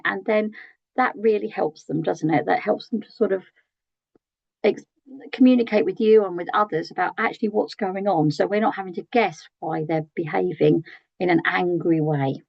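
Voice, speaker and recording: A British woman with a croaky voice giving an early years training talk in a chatty way, with many tag questions and ums. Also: mid-range voice, dry recording, lo-fi sound. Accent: British English. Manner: conversational, asks tag questions, frequent ums.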